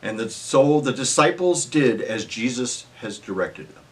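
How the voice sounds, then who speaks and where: Animated man narrating scripture. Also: medium pitch, unhurried. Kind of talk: scripture narration, read aloud.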